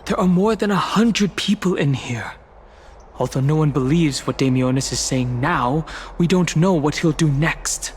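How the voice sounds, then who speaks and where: A man's voice: a little high, normal speed, a little hiss or hum, close to an everyday voice. An actor playing an earnest, concerned young male adventurer in a video game. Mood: worried.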